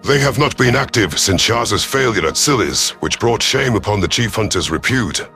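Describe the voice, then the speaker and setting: A man narrating a sci-fi story in character as a stern, calculating alien commander, formal and deliberate, over music. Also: fairly low pitch, expressive, vocal fry, sharp tone.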